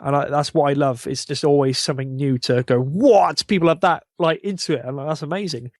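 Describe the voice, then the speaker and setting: A man's voice: fairly high pitch, a little fast, dry recording, studio quality. A man chatting casually and enthusiastically, effusive and emphatic in the way he talks.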